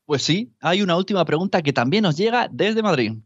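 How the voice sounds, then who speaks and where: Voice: medium pitch, expressive, a little fast, dry recording, highs cut off, lightly acted. A performer playing a friendly flying superhero who presents listeners' questions casually and enthusiastically on a children's podcast. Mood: friendly, upbeat. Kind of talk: scripted children's podcast chat.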